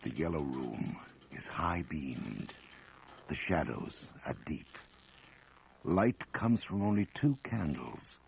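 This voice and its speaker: An actor serving as the ominous narrator of an old-time radio ghost story, measured and suspenseful. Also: male voice, a bass voice, animated, vocal fry, slight background noise, full character voice. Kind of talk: scripted radio drama narration. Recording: muffled lo-fi old radio broadcast.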